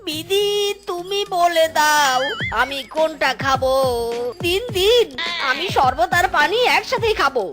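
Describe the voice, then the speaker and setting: A woman as an excitable, squealing young male fox. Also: very high voice, a little slow, over music. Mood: flustered. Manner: exclamatory, repeats a catchphrase. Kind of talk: exaggerated cartoon voice acting.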